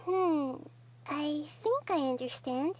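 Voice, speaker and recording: A small girl deity in a video game, with a high, soft, girlish voice over some background noise. She is gentle and wise, explaining things calmly. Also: slow pace. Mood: gentle, reassuring. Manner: calm, thoughtful, explanatory.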